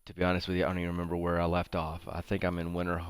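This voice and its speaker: A man's voice: deep voice, monotone, racing, clean tone, no echo, clean studio sound. A man with a congested, sniffly head cold, giving casual, rambling game commentary as the host of a livestream.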